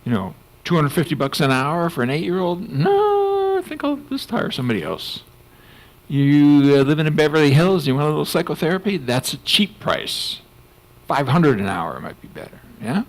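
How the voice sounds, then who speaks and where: An older man with a gravelly, slightly high voice talks on a panel in a lively, swooping way. He laughs often and drops into mock voices to make a point. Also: moderate pace. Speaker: panel speaker, clinical psychologist. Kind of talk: conference panel discussion.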